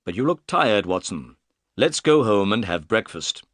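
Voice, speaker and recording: A guy doing a shrewd polite detective for a storybook, confident, very lively delivery, no room sound. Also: on the low side, unhurried, dry recording, studio quality. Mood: decisive, confident. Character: composed, courteous, shrewd male detective.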